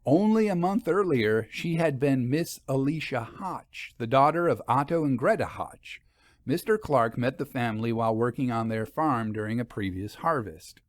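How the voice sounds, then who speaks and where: Man, story narrator, scripted reading, medium pitch, very dry recording. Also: lively intonation, moderate pace, no room sound.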